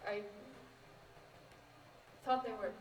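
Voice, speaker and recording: A woman's voice: medium pitch, steady tone, very slow, background noise. A young woman acting a guarded, defensive, lonely character in a student stage play. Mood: guarded, hurt. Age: young adult. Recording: echoey room, far from the mic.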